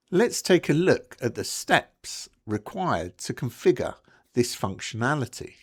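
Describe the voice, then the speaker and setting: A man presenting a technical support tutorial, reading scripted narration in a clear, instructional way, one step at a time. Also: mid-range voice.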